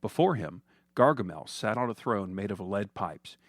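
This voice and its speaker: Animated man narrating a comic story. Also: lowish voice, normal speed, dry recording, studio quality. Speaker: storyteller, podcast host.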